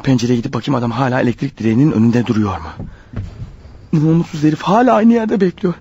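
An actor playing a paranoid, desperate killer on the run in a radio drama, thinking aloud in an agitated, urgent manner. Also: male voice, medium pitch, lively intonation, fast talker, lo-fi sound, slight background noise, natural voice. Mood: anxious, watchful. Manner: agitated, urgent, talking his thoughts out loud. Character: desperate, paranoid fugitive killer, a married man. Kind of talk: radio drama acting with spoken-aloud thoughts.

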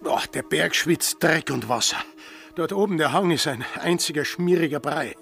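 Radio play sailor: earthy grumbler, creaky tone, dry wit, faint music behind. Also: male voice, on the high side, normal speed, fairly bright tone. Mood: grumbling. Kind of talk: radio drama acting.

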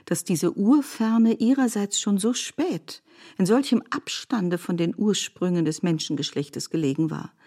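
Mellow, lowish-voiced woman narrator. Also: breathy edge. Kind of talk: scripted literary narration for a radio production. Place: studio.

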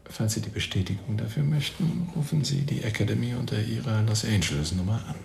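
An actor playing a suave, evasive middle-aged doctor in a radio drama, speaking formally and precisely in a gravelly voice that fades into a murmur. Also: male voice, on the low side, darkish tone. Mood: formal, guarded. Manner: formal, precise, pompous wording with deliberate pauses. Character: suave evasive doctor confessing under police questioning. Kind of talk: radio drama acting, monologue testimony. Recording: roomy echo, faint background noise.

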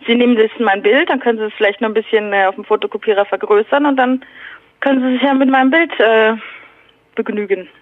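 A woman's voice: fairly high pitch, steady tone, fast, dry recording. A woman heard over the telephone in a spontaneous conversation, speaking hesitantly but firmly. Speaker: prank call recipient, model. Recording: tinny narrowband phone line.

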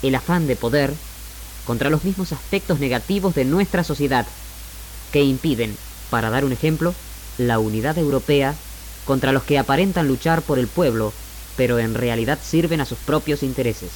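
A man presenting scripted, literary radio narration in a measured manner, in the style of the 1960s. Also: mid-range voice, a little hiss or hum, rough recording. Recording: archived 1960s AM radio recording. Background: music.

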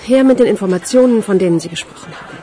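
A woman acting in a German radio crime drama as a sharp-witted, sceptical investigator who sounds dry, probing and sardonic. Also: medium pitch, very fast, natural voice. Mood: coolly challenging.

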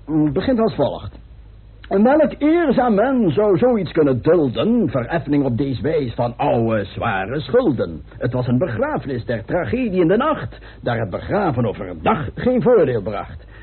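A man's voice: on the high side, big pitch swings, a little slow, slightly rough, full character voice. A man acting in a Dutch radio play as an eager young newspaper reporter with a theatrical delivery. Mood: declaiming. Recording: muffled, tinny old radio recording.

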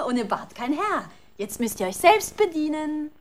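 Cheeky mocking girl, children's audio drama. Bright-spirited teasing, lively pitch, unhurried. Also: exaggerated voice. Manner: teasing, laughing. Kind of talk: children's radio play acting.

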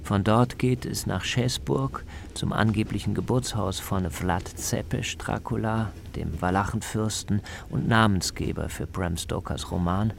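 Low gravelly man narrating a travel feature. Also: measured pace, few pauses, a little hiss or hum. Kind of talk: scripted radio feature narration.

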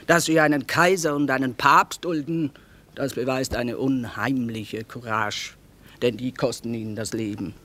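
An actress in a classic radio play, playing a shrewd, tough, down-to-earth war trader with a dry, matter-of-fact delivery. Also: female voice, low voice, fairly bright tone. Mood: sober, bitter. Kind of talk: classic German radio drama acting.

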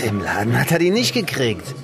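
A man's voice: mid-range voice, wildly swooping pitch, normal speed, vocal fry. A man playing a cagey, streetwise underworld informant in a naturalistic radio drama, teasing and slangy. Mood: sly, teasing. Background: loud music.

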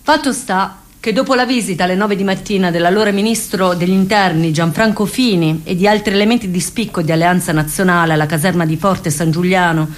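A woman's voice: on the low side, fairly quick, hardly any pauses, close to an everyday voice. A woman giving scripted, theatrical narration with an ironic edge in a radio play. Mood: ironic, narrating. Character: ironic female narrator questioning police.

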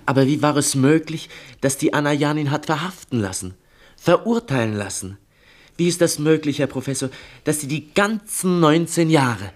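A man's voice: a little high, lively intonation, normal speed, lightly acted. Male actor doing intense idealistic teen lad, old radio play, earnest and pushy, voice jumps up and down a lot. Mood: subdued, insistent. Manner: earnest, urgent, volatile. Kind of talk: mid-century German radio play acting.

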